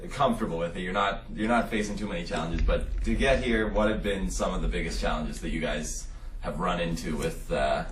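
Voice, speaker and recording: Male interviewer, low lively voice, halting, off-mic in a roomy space with clear hiss. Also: distant mic. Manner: halting, filler-laden questions. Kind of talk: interview questions.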